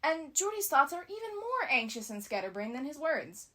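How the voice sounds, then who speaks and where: A woman's voice: high-pitched, very animated, normal speed, sharp tone. A woman giving scripted analysis commentary as a video essayist, with casual asides.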